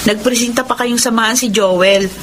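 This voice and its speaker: A woman playing an excitable, possessive grown daughter who nags, in a Filipino radio drama. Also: fairly high pitch, moderate pace, noisy background, close to an everyday voice. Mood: scolding. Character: nagging, possessive adult daughter, shrill and excitable.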